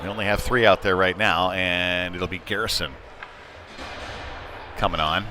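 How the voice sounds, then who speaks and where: A man's voice: deep voice, fairly even intonation, normal speed. A man calling live youth ice hockey play-by-play in a folksy, colloquial way. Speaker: play-by-play commentator. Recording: clear background rink noise.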